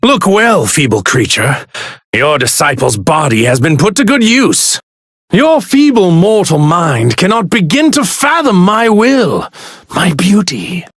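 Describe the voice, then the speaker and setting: An actor playing an arrogant god in a stolen body, pompous and sneering in formal, grandiloquent speech for an anime fighting game. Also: male voice, sits high, big pitch swings, measured pace, a bit bright, breathy edge, no room sound, clean studio sound, heavily acted. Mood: grandiose, gloating. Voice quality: theatrical, sneering delivery.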